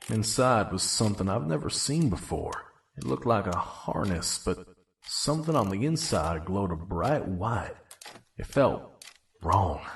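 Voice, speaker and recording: A man playing a world-weary, pragmatic pony junk peddler in an audio drama, gruff and brooding. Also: fairly low pitch, distant mic, slight background noise. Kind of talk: audio drama dialogue and first-person narration.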